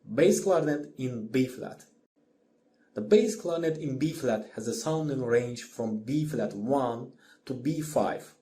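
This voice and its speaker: Mellow male lecturer, scripted lesson. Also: medium pitch, lively intonation, unhurried, slight background noise. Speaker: music orchestration lecturer. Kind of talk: scripted instructional narration.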